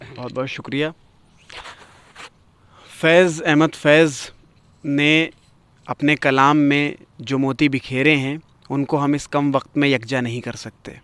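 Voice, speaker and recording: Clear, higher-pitched man hosting a poetry gathering. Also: steady tone, takes it slowly, pauses often, a bit bright, dry recording. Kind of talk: formal spoken introduction and commentary in literary Urdu.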